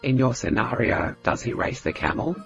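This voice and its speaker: Comedy role: male actor as chatty Australian woman, smoky and gravelly, lively intonation, music underneath. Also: mid-range voice, normal speed, highs cut off. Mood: matter-of-fact. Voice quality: raspy, smoky. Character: Kathy, chatty earthy Australian woman ex-camel jockey. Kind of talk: scripted comedy dialogue.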